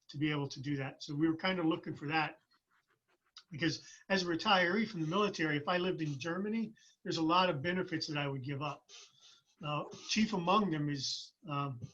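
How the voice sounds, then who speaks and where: A man in his fifties speaks reflectively as a guest, often restarting his sentences. His voice is somewhat high, bright and husky. The audio comes through dull and lo-fi. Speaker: podcast guest, military retiree. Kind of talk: casual conversation.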